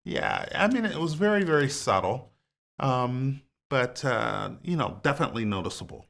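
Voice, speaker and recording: A man's voice: medium pitch, moderate pace, creaky. A man talking casually as a podcast guest, rambling with lots of fillers. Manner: relaxed, rambling with many fillers. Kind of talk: casual conversation.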